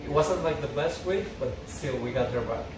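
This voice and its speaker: A man's voice: mid-range voice, a little fast. A man speaking as a fan, reading stage directions aloud or chatting casually. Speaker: fan table reader and commentator. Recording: echoey, far from mic, noisy room.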